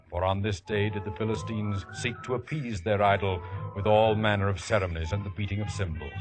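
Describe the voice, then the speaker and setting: An actor playing a grave scriptural storyteller, narrating a 1950s radio drama in solemn biblical cadence. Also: male voice, lowish voice, lightly acted, rough recording. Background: music.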